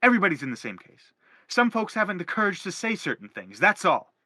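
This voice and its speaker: A man's voice: high voice, very animated, quick pace, pushed and bright, no echo, full character voice. An actor playing a middle-aged father in a stage play, formal and declamatory as he anguishes and justifies himself. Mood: anguished. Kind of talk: theatrical stage play acting.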